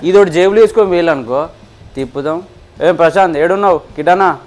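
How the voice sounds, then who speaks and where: A man with a Telangana Telugu accent talks quickly in a slightly high voice. He explains things casually to friends, step by step, with everyday examples. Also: a little mellow. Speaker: vlogger demonstrating a gadget.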